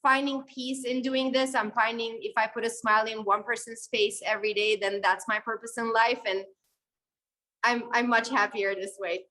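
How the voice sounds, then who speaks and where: A young woman from Syria, a guest videographer, telling her story in a lively, conversational interview answer with many fillers and restarts. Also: fairly high pitch, moderate pace, low-quality recording.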